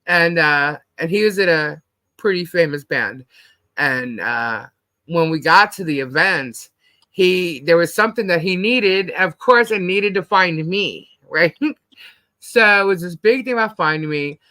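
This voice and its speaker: A middle-aged American woman with a husky, deep-set voice that still cuts through brightly. She chats casually and bluntly, acting out bits of dialogue as she rambles through anecdotes. The sound is close and dry. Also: a little slow, dry recording. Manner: blunt, slangy, animatedly quotes herself and others. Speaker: host of a live panel stream.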